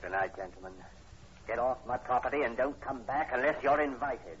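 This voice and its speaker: A man's voice: medium pitch, moderate pace, close to an everyday voice. An actor playing an ill-tempered, reclusive landowner in his forties, curt and threatening, in 1940s radio horror style. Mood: cold. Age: middle-aged. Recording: dull, noisy old radio broadcast recording.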